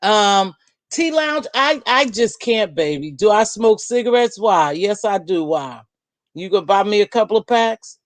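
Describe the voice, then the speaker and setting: A middle-aged woman with a deep voice, hosting a casual livestream from home and chatting bluntly with viewers, calling them baby and girl. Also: lively intonation, a little slow, no room sound. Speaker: livestream host. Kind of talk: casual live chat, answering viewer comments.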